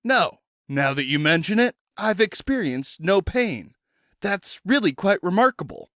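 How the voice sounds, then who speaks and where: A shy, earnest talking head, as a man puts him on, hesitant and mild, a few steps above the reader's own pitch. The sound is bright and dry, with a lively rise and fall. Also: measured pace, no room sound, heavily acted. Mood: musing. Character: polite, timid middle-aged man, a talking severed head.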